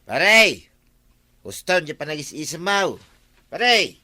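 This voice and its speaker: An actor playing a nosy, teasing village husband in an Ilocano radio comedy drama, with an Ilocano accent and emphatic delivery. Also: male voice, fairly high pitch, big pitch swings, unhurried, bright, forceful tone, dry recording, heavily acted. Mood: exclaiming.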